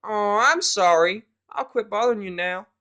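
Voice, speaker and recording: A man's voice: normal speed, bright, forceful tone. A man voicing a small, sweet, earnest country filly with a twangy little voice in a story reading. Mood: worried. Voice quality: high-pitched, twangy.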